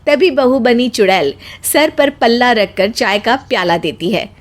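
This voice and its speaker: A woman narrator reading a scripted story in an expressive storytelling style. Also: a little high, unhurried.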